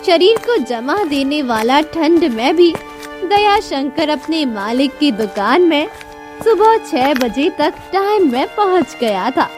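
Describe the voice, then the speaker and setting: A woman narrating a scripted cartoon story in a dramatic, deliberate storytelling manner. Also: sits high, big pitch swings. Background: music.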